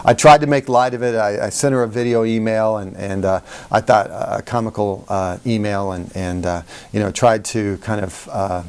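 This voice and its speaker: A man's voice: on the low side, fairly even intonation, moderate pace, hardly any pauses, clean tone. A middle-aged man giving a conversational how-to talk as a coach, telling personal stories with emphasis and self-deprecating humor. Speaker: sales communication coach hosting a how-to video.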